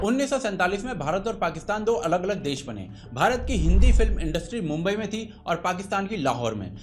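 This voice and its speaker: A man with an Indian accent presenting a scripted explainer as host, in an emphatic, opinionated storytelling manner. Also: sits high. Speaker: host of a video essay.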